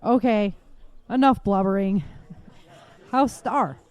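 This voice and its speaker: A woman's voice: mid-range voice, slow pace, darkish tone, clean tone, lightly acted. An actress playing a weary woman survivor who speaks earnestly.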